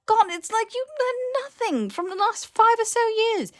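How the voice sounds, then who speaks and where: A woman's voice: very high voice, animated, moderate pace, fairly bright tone, voice pushed up. A woman playing a blunt, exasperated young male wizard in a fantasy audiobook, with sarcastic, emphatic delivery. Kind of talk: character voice in fan fiction audiobook.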